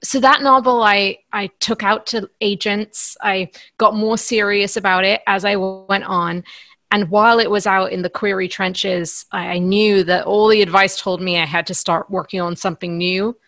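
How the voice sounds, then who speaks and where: A woman's voice: medium pitch, steady tone, moderate pace, a bit bright, no echo. A woman in her thirties from Northern Ireland speaks as a guest author on a podcast. She talks in an articulate, earnest way, sometimes restarting a phrase. Her voice is recorded dry and close, with no room sound. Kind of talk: podcast interview.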